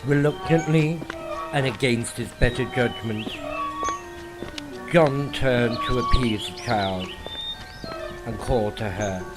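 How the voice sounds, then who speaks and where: A man narrating a dramatic horror story in a theatrical way, with deliberate pauses. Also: medium pitch. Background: music.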